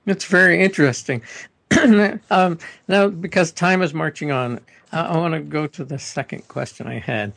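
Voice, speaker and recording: A man's voice: fairly high pitch, normal speed, gravelly, fairly bright tone, no room sound. A man hosting a radio interview who asks long, rambling questions full of hesitations. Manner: rambling, hesitant, many fillers and restarts. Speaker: radio show host.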